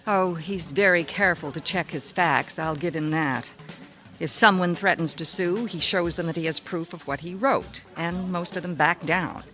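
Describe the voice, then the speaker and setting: An actress playing a weary, efficient minder of a difficult celebrity in a radio mystery, brisk and dry. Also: female voice, on the low side, bright, forceful tone, soft background music. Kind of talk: radio mystery drama acting.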